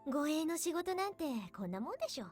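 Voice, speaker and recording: A breezy young soldier woman from an anime visual novel, talks fast in a high, lively voice. She is outspoken, casual and playful, always ready to tease. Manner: casual, teasing. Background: game background music.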